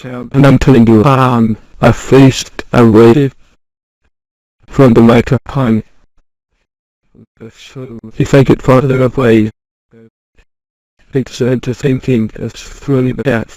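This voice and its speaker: A man's voice: medium pitch, flat delivery, slow, long pauses, darkish tone, dry recording, low-quality recording. A man giving a casual product demonstration through an AI voice changer.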